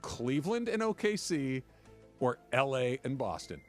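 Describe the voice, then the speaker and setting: A man's voice: mid-range voice, big pitch swings, a little slow, soft background music. A man hosting sports talk, arguing emphatically with repeated phrases and rhetorical questions. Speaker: sports talk radio host. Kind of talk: opinionated sports debate.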